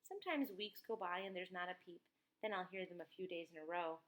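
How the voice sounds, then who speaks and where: A friendly grown-up woman writer from a children's storybook, as a woman reader plays her. Her voice is slightly low, and she talks to children in an easygoing, chatty way. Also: animated, a little fast, close to an everyday voice.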